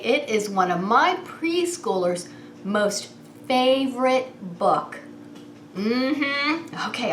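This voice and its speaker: Woman, preschool teacher, higher-pitched, unhurried, playful singsong story-time delivery, roomy echo. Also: a bit bright. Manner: addresses children directly with simple questions. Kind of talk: children's story time with read-aloud and singsong. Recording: roomy echo, clear background noise.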